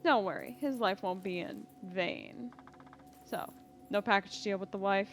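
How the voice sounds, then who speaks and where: A woman voicing a cruel, gloating male slave trader in a fantasy audio drama, speaking in a mocking low drawl. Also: measured pace, gravelly, soft background music.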